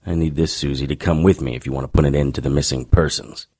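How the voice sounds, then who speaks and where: A man playing a gruff, world-weary supernatural investigator in an audio drama, speaking in a wry, terse and insistent way. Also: extremely low pitch, steady tone, fast talker, a little mellow. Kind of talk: audio drama acting and first-person narration.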